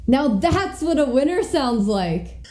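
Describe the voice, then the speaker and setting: A young woman playing an excitable, emphatic sidekick in a comedy radio play. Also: high voice, takes it slowly, soft background music, heavily acted. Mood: admiring. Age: young adult. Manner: reactive, emphatic. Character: excitable sidekick egging on, scornful of the suspect.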